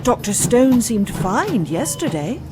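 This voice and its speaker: A woman's voice: on the high side, very animated, slow, noisy background. A woman playing a principled, no-nonsense doctor in an audio drama, blunt and sarcastic. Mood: brisk, suspicious.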